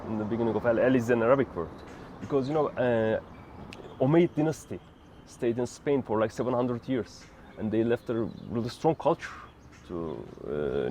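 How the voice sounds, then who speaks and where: A young man with a Turkish accent answers questions on a city street, in a loose, halting way with plenty of fillers. His voice sits at an ordinary pitch. Faint traffic hums behind him. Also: warm tone. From Turkey. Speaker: interviewee. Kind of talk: street interview.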